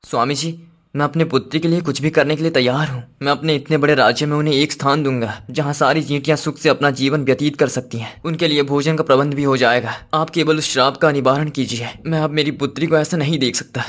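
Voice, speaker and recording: A man's voice: a little high, steady tone, fast talker, fairly bright tone, close to an everyday voice. A man voicing a devoted, commanding king and father in a cartoon moral story, speaking in an earnest, courtly way. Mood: pleading.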